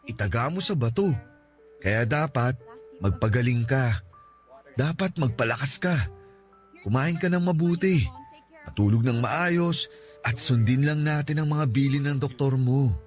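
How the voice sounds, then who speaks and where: A man's voice: medium pitch, animated, unhurried, pauses often, natural voice. Man playing a devoted, earnest young boyfriend. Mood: earnest, reassuring. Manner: gentle, earnest, emotional. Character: devoted young boyfriend of a dying woman, protective. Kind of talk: Tagalog radio romance drama acting. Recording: music under the voice.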